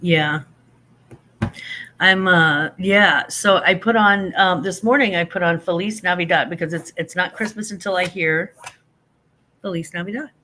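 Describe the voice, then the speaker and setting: An older woman chats on a livestream, rambling from one thought to the next and poking fun at herself as she answers viewers. Her voice is bright and forceful, and she sounds a little stuffy from allergies. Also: on the low side, unhurried, slight background noise. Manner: chatty, rambling, self-deprecating, reads and answers chat. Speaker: livestream host. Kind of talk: casual livestream chat.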